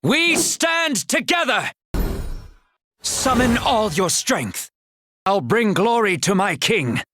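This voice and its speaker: Lively, valiant young knight. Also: male voice, high voice, slow, faint music behind, heavily acted. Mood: resolute. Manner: heroic, declamatory. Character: noble, valiant young knight loyal to king. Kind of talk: video game hero voicelines.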